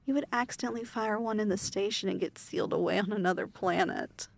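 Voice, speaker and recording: A woman playing a haughty, weary demon lord in an ASMR fantasy roleplay, speaking in a formal, measured, imperious manner. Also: medium pitch, gravelly. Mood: dry, chiding.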